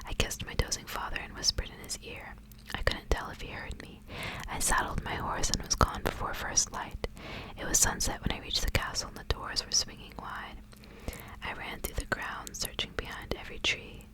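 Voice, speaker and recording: Whispering woman, soft ASMR delivery. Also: moderate pace, poor audio. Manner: soft, hushed, gentle delivery. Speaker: ASMR host reading stories aloud.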